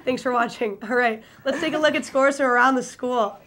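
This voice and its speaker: A woman's voice: high-pitched, brisk, fairly bright tone, a little hiss or hum. A young woman presenting a student sports chat show as its host. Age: young adult.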